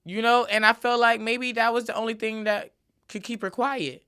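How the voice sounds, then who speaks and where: A woman's voice: a little high, steady tone, moderate pace, a bit bright, clean tone. A woman hosting her own podcast, talking casually and emphatically on her own with a lot of repeated filler tags. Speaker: podcast host. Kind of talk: casual solo podcast monologue.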